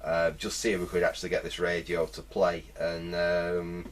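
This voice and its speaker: A man's voice: low-pitched, completely flat delivery, moderate pace, slight background noise. A man giving casual, hesitant, rambling hands-on commentary as a repair video host. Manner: rambling, hesitant, thinking aloud.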